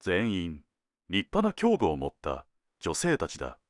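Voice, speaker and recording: Senior male detective, played by an actor: deep, animated pitch, laconic dry humor, crime drama, close dry sound. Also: no echo, heavily acted. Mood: dry, teasing. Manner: laconic, dry joking, deliberate phrasing. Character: senior male detective, wry, teasing, world-weary. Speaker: police detective at headquarters. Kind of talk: scripted detective audio drama.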